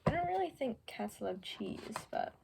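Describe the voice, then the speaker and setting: A woman's voice: on the high side, sing-song, a little slow, creaky, noisy background. A young woman in her teens reading a children's picture book aloud, adding chatty, informal asides for young listeners. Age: teenager. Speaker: student volunteer reader.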